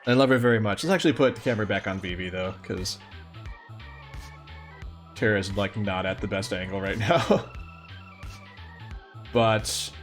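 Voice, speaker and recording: A man's voice: fairly low pitch, moderate pace, long pauses, faint music behind. A man hosting a livestream, chatting casually and affectionately with his viewers. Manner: affectionate, cooing to a pet. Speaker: livestream host.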